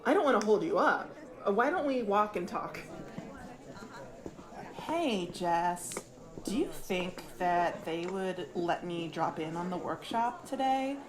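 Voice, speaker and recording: A woman playing a curious, sly undercover investigator in an audio drama, casual and measured. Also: lowish voice, animated, a crowd in the background. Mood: casually probing. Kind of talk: audio drama narration and natural dialogue.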